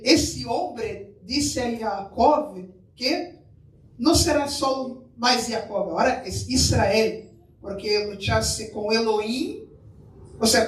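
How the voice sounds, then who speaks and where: A Brazilian man preaches at an unhurried pace, mixing Portuguese with Spanish and asking the congregation questions. He is picked up from some distance away, in a reverberant room with a faint hiss underneath. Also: very high voice. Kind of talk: sermon. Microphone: far from the mic. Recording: echoey room, mic far off, faint noise.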